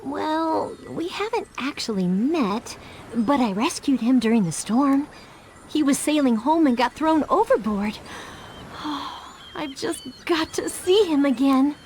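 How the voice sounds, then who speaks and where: A young mermaid princess in an animated game, full of dreamy exclamations and sighs. The high voice swings expressively and takes its time, with faint noise behind it. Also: female voice, takes it slowly. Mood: wistful, secretive. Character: sweet, dreamy, anxious teenage mermaid princess.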